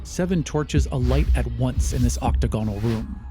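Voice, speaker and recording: A man's voice: mid-range voice, normal speed, music in the background. A man working as game master, narrating a fantasy tabletop adventure in a descriptive, scripted style.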